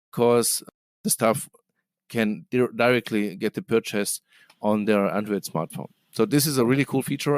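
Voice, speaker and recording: A middle-aged man with as his talks through interview answers in a resonant, smooth voice. He hesitates often, filling gaps and restarting phrases. The sound is dry and close. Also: lowish voice, darkish tone, no room sound. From Germany. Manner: hesitant, many fillers and restarts. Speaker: guest, business development manager.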